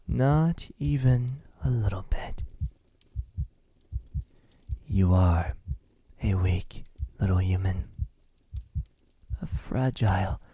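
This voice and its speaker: A man playing a possessive, lovesick vampire captor in an ASMR roleplay, speaking intimately in a husky voice that often drops into a whisper. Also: deep voice, dark tone, no echo, exaggerated voice. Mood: menacing, mocking. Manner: slow, lingering, halting delivery.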